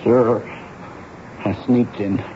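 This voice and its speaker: A man's voice: mid-range voice, steady tone, drawn-out words. Timid, stammering teenage boy, muffled recording. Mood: nervous. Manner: stammering, hesitant, deferential. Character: timid, ragged, homeless teenage boy, pleading. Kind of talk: old-time radio drama acting. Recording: muffled old radio recording, background hiss.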